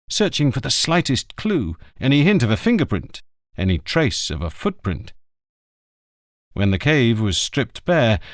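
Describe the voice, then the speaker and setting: A man reading a crime novel aloud as the narrator, with expressive delivery. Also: mid-range voice, no echo, clean studio sound. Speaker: audiobook reader. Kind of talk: scripted narration.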